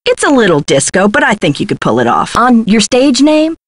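A woman's voice: fairly high pitch, big pitch swings, a little fast, no room sound. A woman voicing a brash, scheming Hollywood talent agent in a video game, glib and condescending, with slangy talk. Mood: scheming, enthusiastic.

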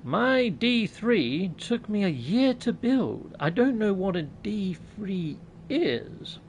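Lively, gravelly British man streaming a game. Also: high voice, slow pace, slight background noise. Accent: British English. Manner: jokey, self-mocking, chats with viewers. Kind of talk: casual live-stream chat while playing. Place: small room at home. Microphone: USB mic on top of a laptop.

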